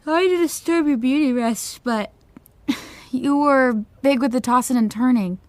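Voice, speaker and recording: A woman's voice: sits high, unhurried, no echo. A woman playing an unflappable, teasing young friend with a wry, casual, soothing manner in an audio comedy-drama.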